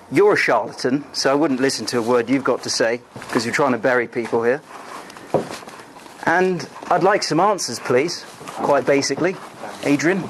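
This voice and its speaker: A man's voice: mid-range voice, noisy background. A man, a student, angrily confronting a lecturer over his grade with accusatory ranting.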